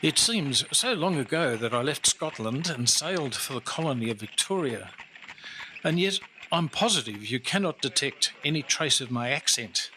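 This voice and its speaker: An actor playing a boastful, famous Victorian-era detective inspector in an old-time radio drama, formal and theatrical in manner. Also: male voice, mid-range voice, expressive, normal speed, bright, forceful tone, a little hiss or hum, poor audio. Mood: self-assured, storytelling. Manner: theatrical, self-assured, formal.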